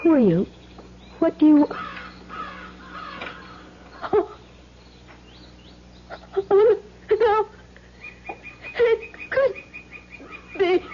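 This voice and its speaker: An actress playing a young mother and housewife in a theatrical, expressive 1970s radio drama style. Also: very high voice, drawn-out words, pauses often, exaggerated voice. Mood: shocked, faltering. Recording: muffled old radio recording, faint noise.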